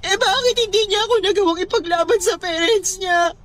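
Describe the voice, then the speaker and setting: A woman's voice: extremely high pitch, fairly quick, a bit bright. A woman with a Filipino accent playing a heartbroken, pleading young woman in a radio drama, whining tearfully. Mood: sobbing, distraught. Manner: whiny, tearful, emotional delivery.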